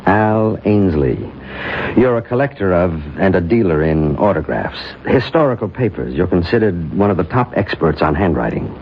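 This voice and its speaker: A calm, skeptical American doctor in radio drama. The voice is low and very animated in its rise and fall, measured and authoritative in its questioning. It comes through a muffled old broadcast recording. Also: male voice, slight background noise. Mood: skeptical, probing.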